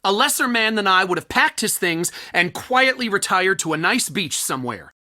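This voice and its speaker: A man's voice: high voice, very animated, moderate pace, sharp tone, no room sound, clean studio sound, full character voice. An actor playing a wry, self-pitying male researcher in a video game, speaking in a plaintive, theatrical manner. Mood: bitter, forlorn. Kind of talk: video game character dialogue.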